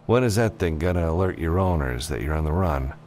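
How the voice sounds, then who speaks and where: A man voicing a gruff, reluctant freelance spaceship captain, curt and businesslike, in a science fiction audiobook. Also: very deep voice, lively intonation, a little fast, a little mellow, a little hiss or hum. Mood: brisk, practical.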